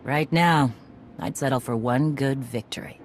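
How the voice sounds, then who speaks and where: An actress playing a battle-weary mercenary commander in a video game, blunt, clipped and dry. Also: female voice, a bass voice, unhurried, slight background noise. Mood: gruff resolve. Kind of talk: cinematic video game acting.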